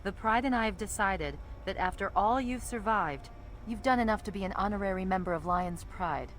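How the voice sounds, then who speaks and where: A woman playing a confident, battle-hardened soldier leading her squad in a video game, brisk and commanding with a wry edge. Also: mid-range voice, a bit bright, slight background noise, lightly acted. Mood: friendly, wry.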